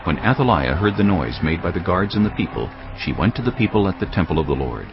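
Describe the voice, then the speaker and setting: A man narrating scripture as a dramatised audio Bible, with measured, solemn storytelling delivery. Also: low-pitched, big pitch swings. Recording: dull, lo-fi audio with music. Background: background music.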